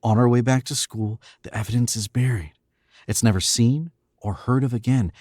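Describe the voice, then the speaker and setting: A man's voice: medium pitch, normal speed, darkish tone, no echo, studio quality, close to an everyday voice. A young man playing an arrogant, controlled murderer in a 1940s-style radio drama, with clipped, smug commands. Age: young adult.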